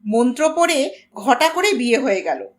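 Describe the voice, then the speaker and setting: A woman narrating a scripted story in an expressive, dramatic and deliberate way. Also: sits high. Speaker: audiobook narrator.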